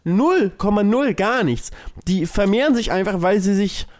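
A man. He talks casually as a podcast co-host, musing out loud and hammering points home by repeating himself. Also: sits high, expressive, normal speed. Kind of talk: casual chat.

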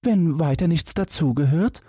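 Fairy-tale lad, played by a man: high, guileless, earnest, naive and carefree. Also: animated, moderate pace, mellow, breathy edge, no room sound, studio quality. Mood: eager, naive. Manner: guileless, matter-of-fact, earnest. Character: naive, carefree boy who wants to learn to shudder.

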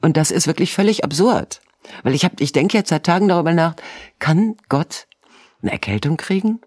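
A woman's voice: low-pitched, animated, moderate pace, a little mellow, no echo, clean studio sound. A woman from the with a cold, chatting casually and rambling on at length, on a video call. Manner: chatty, self-pitying, rambling. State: has a cold. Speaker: co-host. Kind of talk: casual chat.

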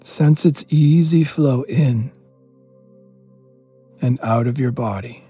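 A man leading a guided meditation as a yoga teacher, giving gentle, spacious instructions with pauses. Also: medium pitch, breathy edge, soft background music.

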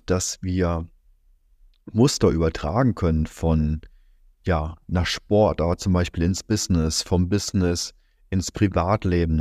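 A man hosting a reflective solo podcast, speaking calmly with many fillers, his voice slightly strained from being a little under the weather. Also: low-pitched, no room sound, clean studio sound. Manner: calm, musing, many fillers and "ja". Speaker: podcast host.

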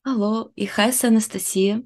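A young woman from Ukraine speaking German with a Ukrainian accent, her first language being Ukrainian, pausing often to search for words in an interview. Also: mid-range voice, steady tone. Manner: slow and halting, searching for words with many "äh" pauses. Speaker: guest.

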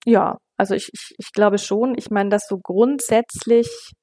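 A woman's voice: medium pitch, steady tone, a little slow, warm tone, no room sound. A woman in her fifties from western Germany, speaking as an interview guest in a thoughtful, conversational way and searching for her wording. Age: 50s. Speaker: interview guest, local politician.

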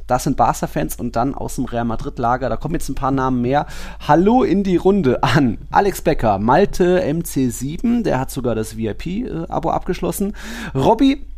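A man's voice: medium pitch, darkish tone. A man chatting casually as a sports podcast co-host, with a conversational, lightly teasing way of talking.

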